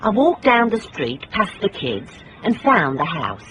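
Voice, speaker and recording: An actress playing a tough, determined private investigator in a radio drama, dry and wry. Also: female voice, fairly low pitch, very animated, measured pace, a bit bright, muffled recording, slight background noise. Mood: wry, observant. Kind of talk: radio drama acting with first-person narration.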